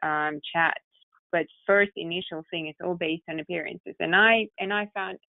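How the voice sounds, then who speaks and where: Low-pitched Russian woman, phone-like sound. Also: fairly even intonation, normal speed, vocal fry, no room sound. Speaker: tech company founder, podcast guest. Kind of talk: conversational interview storytelling. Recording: muffled narrow phone-like sound.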